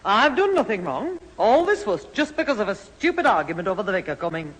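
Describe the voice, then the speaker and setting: Brisk, swooping, scolding mother. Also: mid-range voice, big pitch swings, breathy edge. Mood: dismissive. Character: exasperated, brisk middle-aged mother, scolding her father. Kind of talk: 1970s radio sitcom acting. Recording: echoey, hissy radio recording with audience. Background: studio audience.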